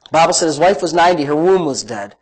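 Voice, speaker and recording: A man's voice: a little high, expressive, fast talker, slightly rough, low-quality recording. A man preaching in a conversational teaching style, with a big voice and an emphatic, folksy manner that repeats phrases for emphasis. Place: live-streamed church service, amplified.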